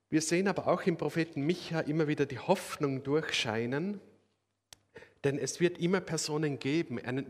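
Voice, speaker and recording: Slow-speaking Austrian pastor. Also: male voice, medium pitch. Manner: slow, earnest preaching with emphatic rises. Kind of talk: sermon.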